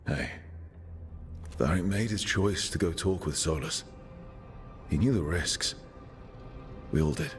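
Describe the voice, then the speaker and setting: A man's voice: low-pitched, lively intonation, slow, pauses often, soft background music. An actor playing a level-headed male fantasy game hero who talks in a matter-of-fact way.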